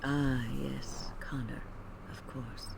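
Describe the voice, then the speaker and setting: A woman playing a grave, wise older female mage in a video game, measured and reflective. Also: rumbling low, little pitch movement, full character voice, rough recording. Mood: grave, haunted. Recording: game audio with clear background noise.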